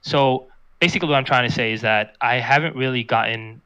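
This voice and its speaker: A young man speaking as a guest in a casual interview, talking in a flat, monotone way with many restarts. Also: medium pitch, brisk, smooth, dull audio. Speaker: guest, competitive fighting game player.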